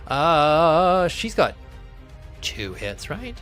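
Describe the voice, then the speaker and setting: Canlı bir masaüstü oyununu yöneten, hareketli ve geveze bir adam; sesi hemen her erkeğe göre biraz ince ve iniş çıkışları bol. Kuralları yüksek sesle düşünerek anlatıyor, arkada hafif müzik var. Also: on the high side. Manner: animated, chatty, thinks aloud about rules. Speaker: game master. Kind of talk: narrating a live tabletop game. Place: home streaming setup.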